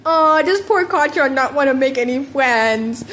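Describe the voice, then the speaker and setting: A woman's voice: high voice, expressive, measured pace, a bit bright. Woman as a breezy, offhand teenage boy. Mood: breezy, mocking. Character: breezy, mocking, morbidly casual teenage boy. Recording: noticeable background noise.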